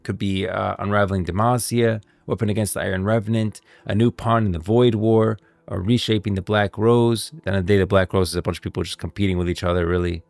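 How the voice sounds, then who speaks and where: A man's voice: lowish voice, steady tone, fairly quick, creaky, few pauses, darkish tone, no room sound. A man giving casual commentary on video game lore as a streamer, recorded close and dry.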